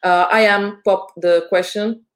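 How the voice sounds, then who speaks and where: A woman's voice: mid-range voice, steady tone, measured pace. A woman moderating a webinar, politely and hesitantly passing on an audience question.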